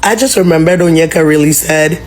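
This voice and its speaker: A woman's voice: deep voice, a little fast. A woman from Ghana with a sore throat, giving teasing, incredulous commentary. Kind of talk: reaction commentary video.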